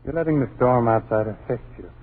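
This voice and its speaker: A man's voice: medium pitch, brisk, close to an everyday voice. An actor playing a flippant, dismissive young family's father, slangy and teasing, in vintage radio drama style. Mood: breezy, dismissive. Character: breezy, wisecracking, dismissive husband and father. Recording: tinny, noisy old radio broadcast.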